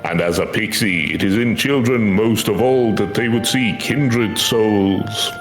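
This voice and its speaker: A man's voice: lowish voice, unhurried, close to an everyday voice. A man voicing a courteous, earnest magical familiar in a video game, speaking formally and eloquently. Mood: earnest, urgent. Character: courteous, earnest male magical familiar who hunts nightmares. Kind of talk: video game quest dialogue read aloud. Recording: echoey voice over game music.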